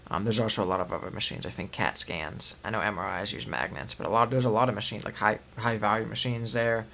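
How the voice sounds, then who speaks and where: A man's voice: on the low side, fairly even intonation, quick pace, gravelly, pushed and bright. A young man co-hosting a news show, reading headlines and then giving loose, filler-heavy opinions. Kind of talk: casual news commentary.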